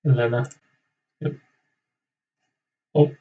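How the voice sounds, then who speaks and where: A man's voice: medium pitch, steady tone, takes it slowly. A young man chatting casually and off the cuff on a livestream, rambling and thinking aloud. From Canada. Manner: rambling, thinking aloud, tags sentences with "right?". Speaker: realtor hosting a live cold-calling stream. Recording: distant, slightly dull microphone.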